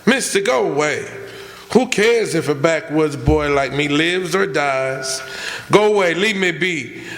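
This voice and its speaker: A man playing a bitter, hopeless young backwoods prisoner in a radio drama, defiant and resigned, with rough rural grammar. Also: fairly high pitch, lively intonation, unhurried, fairly bright tone. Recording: echoey hall stage recording.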